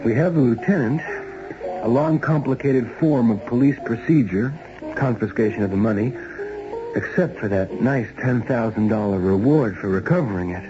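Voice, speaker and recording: A man's voice: medium pitch, normal speed, close to an everyday voice. An actor playing a decent, plainspoken man, dry and a little awkward, in a 1970s radio drama. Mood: dry, resigned. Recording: dull old radio recording, music behind.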